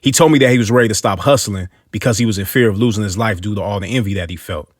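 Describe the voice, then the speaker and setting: Earnest male narrator for motivational hip-hop storytelling; lowish voice, slangy phrasing, close dry sound. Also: very fast, no echo.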